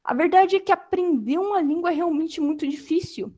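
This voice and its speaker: Young woman teaching, high bright voice, lively, acts out little reactions. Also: fairly quick. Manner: animated, acts out quoted reactions. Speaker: lecturer. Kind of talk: informal online lecture.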